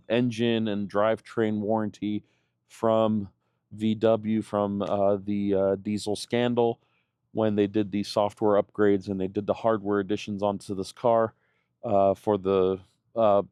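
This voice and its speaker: Guy in his 30s vlogging, low mellow voice, kinda flat, lots of ums and uhs. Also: clean tone, no echo, clean studio sound. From Germany. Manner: rambling, many fillers and restarts. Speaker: channel host. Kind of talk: casual vlog talk.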